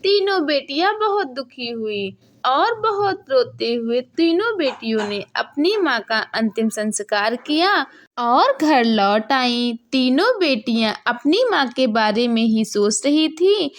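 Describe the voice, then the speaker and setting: A woman narrating a scripted children's story in an expressive, dramatic storytelling manner. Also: sits high, slow.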